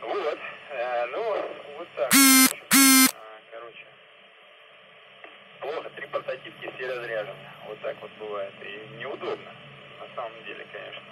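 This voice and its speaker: A man chatting casually and haltingly as an amateur radio operator, heard through a two-way radio speaker. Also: medium pitch, animated, gravelly. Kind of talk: casual ham radio chat. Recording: noisy two-way radio transmission via speaker.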